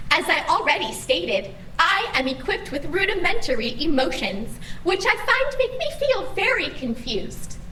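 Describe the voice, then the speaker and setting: A chirpy female computer assistant, acted by a woman for an audio drama. Her voice is high and bright, formal and robotically polite, with an anxious eagerness to please. It sounds echoey, with faint noise behind it. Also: distant mic, heavily acted.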